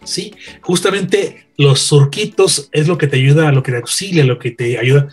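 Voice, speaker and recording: Male radio veteran, raspy-edged higher voice, emphatic storytelling with repeated phrases. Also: normal speed, soft background music. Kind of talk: animated anecdote-telling in a panel chat.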